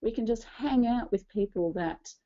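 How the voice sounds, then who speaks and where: Woman, late 40s, soft dark tone, earnest personal talk, slightly muffled recording. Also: on the high side, moderate pace. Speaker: church ministry leader speaking as co-presenter.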